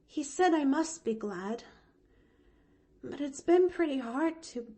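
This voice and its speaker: A woman's voice: high-pitched, animated, takes it slowly, dark tone, pitched above the performer's own voice. A woman voicing a cheerful, eager little orphan girl who talks breathlessly and gushes. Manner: breathless, gushing, chattery. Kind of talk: audiobook character voice.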